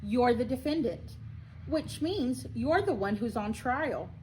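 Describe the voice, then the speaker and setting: A woman voicing a sharp, bossy young girl from a children's storybook. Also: fairly high pitch, expressive, slow, faint music behind, poor audio. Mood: brisk, bossy.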